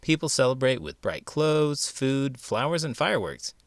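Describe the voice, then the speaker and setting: A man reading a children's storybook aloud in an expressive, dramatic way. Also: medium pitch, moderate pace, dry recording, clean studio sound. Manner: expressive, dramatic delivery with big emotions. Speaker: elementary school teacher reading children's books aloud. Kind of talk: animated storybook read-aloud.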